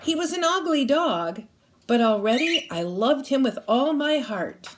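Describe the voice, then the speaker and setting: A woman's voice: high-pitched, sing-song, a little slow. A woman reading a children's novel aloud expressively, like a teacher reading to a class.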